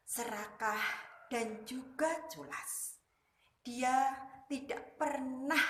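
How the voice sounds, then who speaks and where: A woman telling a children's story like a kindergarten teacher, deliberately, in short emphatic phrases. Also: a little high, a bit bright. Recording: echoey room.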